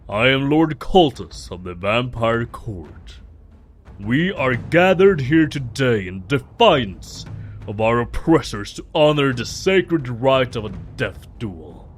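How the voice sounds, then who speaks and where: A man playing a solemn vampire court ruler in an audio drama, with grand, formal, archaic delivery. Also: mid-range voice. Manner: grand, stately, archaic diction.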